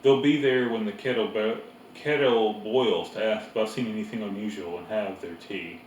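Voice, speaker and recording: A middle-aged farm mother from a storybook, read by a man, brisk and knowing. The delivery is plain-spoken and matter-of-fact. It sounds roomy and away from the mic, with faint noise. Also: lowish voice, fairly even intonation, recorded from a distance, close to an everyday voice.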